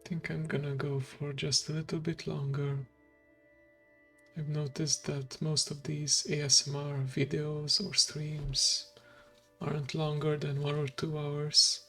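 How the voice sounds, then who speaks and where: Male VTuber, native Hungarian speaker, slightly higher pitched, slow hushed ASMR delivery. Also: monotone. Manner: slow, hushed and gravelly, drifting into whisper. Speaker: VTuber streamer. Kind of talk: soft-spoken ASMR stream. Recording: faint background music.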